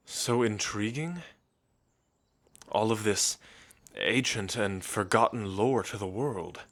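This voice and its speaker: A man playing a refined, centuries-old gentle vampire in an intimate ASMR roleplay, speaking in measured, old-fashioned phrases with thoughtful pauses. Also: on the low side. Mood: intrigued, courtly.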